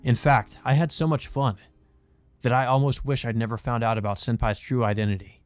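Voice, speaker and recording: A man's voice: mid-range voice, steady tone, brisk, no room sound, soft background music, natural voice. A man voicing an earnest young male student who narrates his thoughts in a visual novel. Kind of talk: visual novel monologue read aloud.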